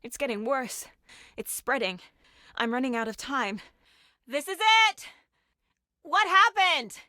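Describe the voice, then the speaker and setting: A determined female FBI agent from a video game drama. She speaks in an unhurried, measured way with intense focus. The sound is very dry and close, with no room around it. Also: sits high, pushed and bright, no room sound, full character voice. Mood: grim, resolute, then angry, desperate.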